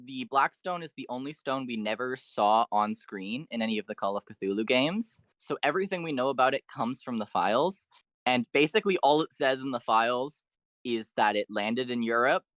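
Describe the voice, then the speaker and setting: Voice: mid-range voice, a bit bright, no room sound. Person explaining fan lore, dry close sound. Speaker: guest on a fan podcast. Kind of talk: enthusiastic fan lore discussion.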